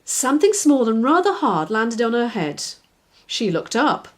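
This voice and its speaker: A woman reads a children's story aloud. The voice is fairly high, with expressive, very lively intonation and clear phrasing for young listeners. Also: unhurried. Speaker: children's author, reader. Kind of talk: scripted storybook narration.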